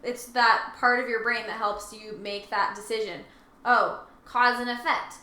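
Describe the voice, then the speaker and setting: A woman's voice: fairly high pitch, level tone, measured pace, pushed and bright. A woman co-hosting a casual discussion, explaining her thoughts in a tentative, conversational way. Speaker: co-host. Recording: echoey room, faint background noise.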